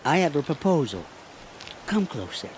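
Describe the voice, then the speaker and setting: A man's voice: on the high side, sing-song, moderate pace, a little hiss or hum, poor audio. An actor playing a sly, ingratiating middle-aged trader in a video game, with theatrical salesman's patter. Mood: slyly ingratiating. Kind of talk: video game dialogue acting.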